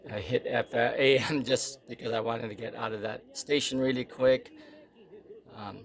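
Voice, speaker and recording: A man giving a casual, unscripted hands-on demo, explaining plainly in short, halting phrases with pauses between them. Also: mid-range voice, steady tone. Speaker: product demonstrator.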